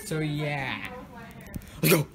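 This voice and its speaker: A teenage boy filming his own vlog on a handheld phone, talking casually, joking and shouting now and then. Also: a little high, slow pace, a crowd in the background. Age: teens. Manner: excitable, jokey, shouts and laughs a lot. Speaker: vlogger. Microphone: handheld phone camera. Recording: outdoor noise behind a phone camera.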